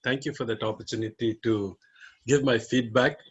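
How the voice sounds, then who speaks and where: Husky man giving direct feedback. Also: mid-range voice, steady tone, normal speed, lo-fi sound. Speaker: area director. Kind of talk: online meeting feedback.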